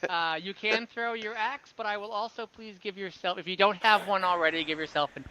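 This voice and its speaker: A man's voice: sits high, a little fast, pushed and bright, a little hiss or hum, poor audio. A man running an online tabletop game as game master, informal and encouraging as he explains things.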